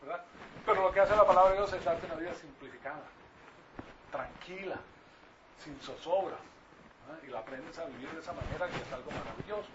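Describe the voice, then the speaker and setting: Older Venezuelan preacher, muffled and distant. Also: male voice, a little high, fairly quick, pauses often. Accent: Venezuelan Spanish. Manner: didactic, repetitive, with rhetorical check-in questions. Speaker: preacher teaching a congregation. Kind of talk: informal Bible-teaching sermon. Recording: distant mic, muffled, clearly noisy.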